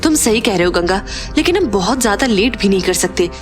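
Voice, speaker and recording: A woman's voice: medium pitch, lively intonation, brisk, music in the background, close to an everyday voice. An actress playing a determined, eager young woman investigator in an audio drama, with an insistent, familiar manner. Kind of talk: Hindi audio drama acting.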